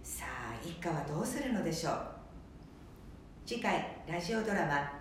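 A woman's voice: low-pitched, big pitch swings, moderate pace, a little hiss or hum. A woman hosting a small radio show, presenting from a script with cheerful, announcer-like emphasis. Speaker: host. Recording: echoey room from a distance.

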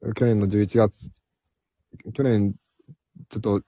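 A man introducing himself casually in a halting, hesitant and modest way. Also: fairly low pitch, steady tone, mellow, slightly rough, no room sound. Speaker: new member of amateur drama group, guest. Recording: dull lo-fi online audio.

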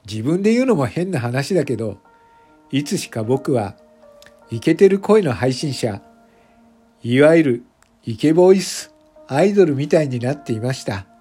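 A man's voice: mid-range voice, big pitch swings, moderate pace, pauses often, warm tone, slightly rough. A man playing a self-conscious factory worker turned popular voice streamer, narrating a confessional radio drama monologue. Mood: confiding, modestly proud. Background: background music.

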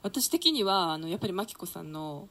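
A woman with a bright, forward voice hosts a relaxed round-table radio talk. She speaks in a chatty, encouraging way, steering the conversation and giving announcements. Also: on the low side, fairly quick. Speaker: radio show host.

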